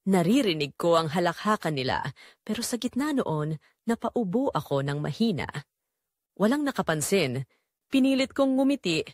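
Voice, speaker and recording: A woman's voice: mid-range voice, dry recording, studio quality. A woman playing a weary, neglected middle-aged Filipina mother, telling her sorrowful story in an audio drama with a wistful, emotive manner and a Filipino accent. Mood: quietly hurt. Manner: wistful, emotive, addressing listeners directly. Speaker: narrator and main character of an audio drama. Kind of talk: first-person dramatic confessional storytelling.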